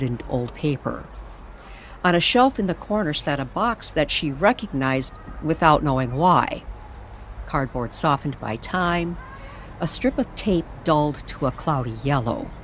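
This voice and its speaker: A woman reading a dramatic scripted paranormal story with suspenseful pauses and careful, measured phrasing. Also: deep voice, slight background noise, rough recording. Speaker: storyteller narrator.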